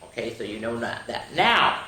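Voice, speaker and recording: A woman's voice: a bass voice, big pitch swings, sharp tone. Older woman storyteller in an echoey room. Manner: sing-song, drawn-out reading with chatty asides; addresses young listeners directly. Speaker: children's story time host. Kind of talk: reading nursery rhymes aloud to children. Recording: roomy, echoing room sound.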